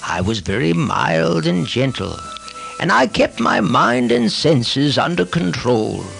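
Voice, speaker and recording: A man playing a remorseful fallen priest of ancient India in a solemn, theatrical audiobook monologue with a gravelly voice. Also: a little high, sing-song, slow pace, fairly bright tone, faint music behind, voice pushed up, heavily acted.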